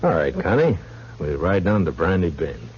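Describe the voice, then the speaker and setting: A man's voice: deep voice, big pitch swings, normal speed. An American man acting a laconic, probing frontier marshal in a 1950s radio western, with terse, dry delivery. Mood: smooth, courting. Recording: dull, noisy old radio recording.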